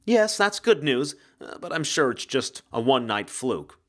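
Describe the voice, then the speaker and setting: An indignant, rattled woman , acted by a male narrator, pitched well up and swinging widely. She is feisty and frightened at once, protesting with agitated emphasis, as a heroine in a mystery audiobook. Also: very animated, moderate pace, natural voice. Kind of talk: audiobook character acting.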